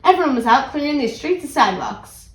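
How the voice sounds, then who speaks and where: A woman reading a children's story aloud in an animated, expressive way. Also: high voice, a little fast, echoey room. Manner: animated, acts out character dialogue. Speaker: read-aloud channel host.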